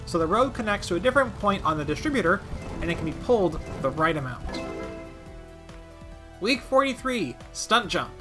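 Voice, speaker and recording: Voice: mid-range voice, big pitch swings, moderate pace, music in the background. A video game commentator narrating a scripted gaming video with wry, playful asides.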